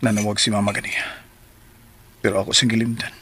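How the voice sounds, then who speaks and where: An actor in a radio drama playing a resolute, bitter betrayed husband in a brooding monologue. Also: male voice, lowish voice, flat delivery, a little fast, bright, forceful tone, slight background noise.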